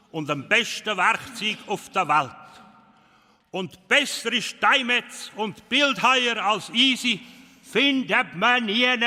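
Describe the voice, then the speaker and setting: An actor with a Swiss German accent playing a pompous, solemn old dwarf elder giving a ceremonial speech in a children's radio play. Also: male voice, high-pitched, pushed and bright, full character voice. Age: older. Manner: solemn, declamatory, proud. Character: pompous bearded dwarf elder opening a festival. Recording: long cavernous hall reverb.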